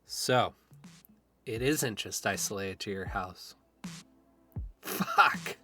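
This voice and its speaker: Easygoing young man with lively pitch played by an actor. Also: extremely slow, soft background music, exaggerated voice. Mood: casual, curious. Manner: casual, slangy. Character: easygoing young man, curious, open-minded buddy. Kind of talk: conversational audio drama acting.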